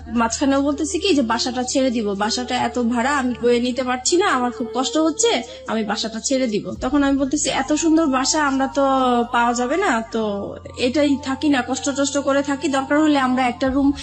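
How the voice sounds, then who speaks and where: High-voiced Bangladeshi woman telling her story. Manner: recounts events with reported dialogue. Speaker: guest telling own life story. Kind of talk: personal storytelling in an interview.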